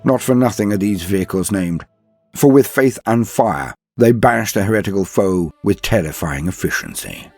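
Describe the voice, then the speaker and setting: A man delivering dramatic scripted narration as a host, solemn, theatrical and grandiose, with a husky voice. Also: on the low side, expressive, normal speed, darkish tone, dry recording, faint music behind. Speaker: host and narrator of a lore show.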